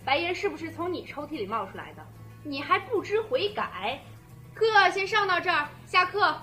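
A woman playing a strict, commanding high school chemistry teacher in a radio drama. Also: sing-song, moderate pace, bright, forceful tone, soft background music, exaggerated voice. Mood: angry, stern.

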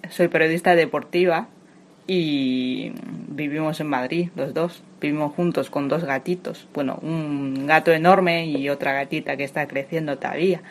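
A woman's voice: sits low, normal speed, a little hiss or hum. A woman in her twenties from China, a sports journalist, speaking as a non-native in a thoughtful interview with touches of humor. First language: Chinese. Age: 20s. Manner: thoughtful, searching for words, light humor. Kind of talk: personal interview.

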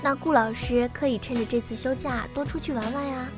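Actress doing a chatty young student girl, really high voice, radio drama with music under it. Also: fairly even intonation, fairly quick, natural voice. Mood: cheerful, chatty. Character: lively young female university student. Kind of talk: Chinese radio drama acting. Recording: music behind the voice.

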